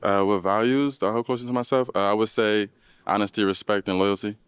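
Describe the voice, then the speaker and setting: A man's voice: on the low side, steady tone, fast, clean tone, no echo. A man in his thirties, a dating show contestant, answers questions hesitantly with many ums and uhs. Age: 30s.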